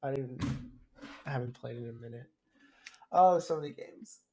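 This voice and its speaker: A young man co-hosting a podcast, talking casually and a little haltingly, with a teasing, chuckly manner. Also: medium pitch, noisy background. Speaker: podcast co-host. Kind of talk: casual gamer chat.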